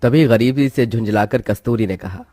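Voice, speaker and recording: A man's voice: mid-range voice, moderate pace, mellow, dry recording, clean studio sound. A man reading a scripted moral story as its narrator, with music behind him.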